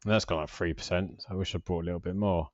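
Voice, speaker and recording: Low-voiced British man, rambling casually. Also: steady tone, fast, dry recording, lo-fi sound. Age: forties. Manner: rambling, hesitant, self-deprecating. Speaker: personal finance vlogger. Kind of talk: casual unscripted screen-share commentary.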